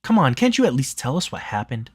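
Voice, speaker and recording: A man voicing a sharp, skeptical young woman with a pointed, questioning manner. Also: medium pitch, big pitch swings, quick pace, slightly rough, dry recording, voice pushed up. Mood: irritated.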